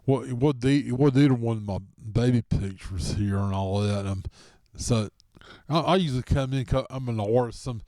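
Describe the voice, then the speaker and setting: A man from Florida chatting casually in a halting way, often repeating words. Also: medium pitch, mellow, no room sound, studio quality. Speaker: show regular. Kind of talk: casual introduction and chat.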